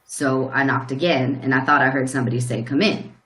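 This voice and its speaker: Woman playing a low-voiced, folksy farmer in echoey room. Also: steady tone, a little fast, fairly bright tone. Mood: folksy, puzzled. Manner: folksy, rambling storytelling. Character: plain-spoken rural male farmer recounting a shock. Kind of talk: radio play acting. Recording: roomy, echoing sound.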